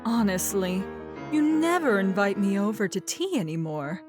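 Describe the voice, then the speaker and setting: A woman's voice: mid-range voice, very animated, moderate pace, a little mellow, faint music behind, natural voice. A woman voicing a languid, suggestive, teasing royal mare in a comedic audio story. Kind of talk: character acting in a comedic audio story reading.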